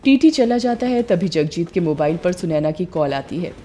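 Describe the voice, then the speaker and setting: A woman reading scripted narration for an animated witch story. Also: lowish voice, moderate pace, slight background noise.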